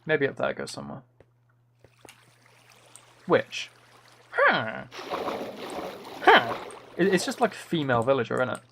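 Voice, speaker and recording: Playful guy streaming a game, bit of vocal fry, rambly chat, faint keyboard noise. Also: medium pitch, big pitch swings, unhurried, long pauses, fairly bright tone. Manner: playful, rambling, trying out game sound impressions. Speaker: livestreamer, author. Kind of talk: casual gaming livestream chat with viewers. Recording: faint noise with key clicks. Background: computer keyboard typing and clicking.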